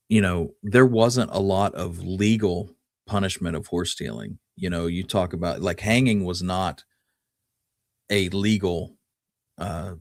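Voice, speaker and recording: Deep-voiced man chatting about history. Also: dry recording, studio quality. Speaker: podcast co-host. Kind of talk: casual history discussion and storytelling.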